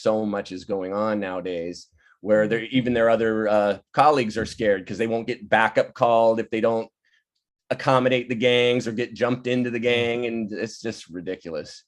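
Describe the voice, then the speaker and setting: A man speaking as a playwright in a casual, informal discussion over a video call. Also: fairly low pitch. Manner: informal, laughs often. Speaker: playwright taking part in a table read. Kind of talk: casual discussion, explaining the work. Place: virtual table read over video call.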